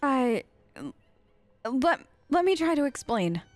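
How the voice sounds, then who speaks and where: A woman's voice: high voice, very animated, slow pace, soft background music. A woman doing casual, chatty role-play commentary as a let's play host.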